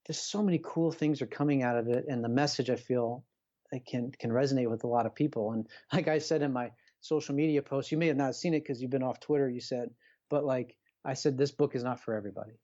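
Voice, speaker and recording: Kinda dull lo-fi audio, guy guesting on a podcast, casual, halting, effusive, mid pitch. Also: dark tone. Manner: effusively grateful, informal, halting. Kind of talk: casual conversation.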